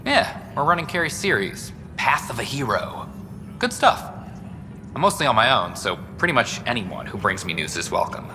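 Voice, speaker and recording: A dedicated space-station newsman in a game. He talks informally and earnestly, with a lively swing in pitch. The sound has long reverb and clear background noise. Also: moderate pace. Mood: friendly, earnest. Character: chatty, dedicated male space-station news broadcaster. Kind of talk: video game dialogue.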